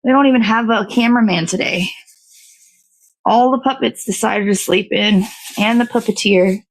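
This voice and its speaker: A woman's voice: medium pitch, moderate pace, poor audio. A woman hosting a casual hands-on cake decorating demo, talking to viewers and half to herself in short fragments as she works. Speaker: show host.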